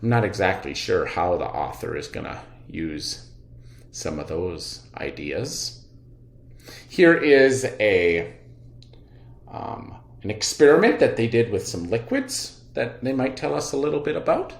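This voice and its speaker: Man, elementary teacher, highly varied pitch, unhurried pace, expressive explaining, read-aloud lesson style, roomy echo. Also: gravelly. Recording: echoey room sound.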